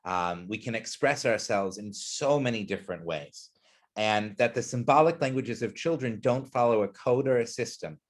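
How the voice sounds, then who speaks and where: A man's voice: fairly low pitch, lively intonation, moderate pace. A man presenting an education webinar, explaining ideas earnestly and putting questions to the audience.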